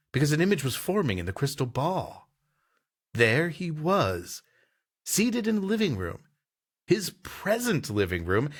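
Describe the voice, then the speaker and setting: A man's voice: medium pitch, fairly bright tone. A man who hosts and reads a pulp story aloud in a dramatic narrating style. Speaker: host and story reader.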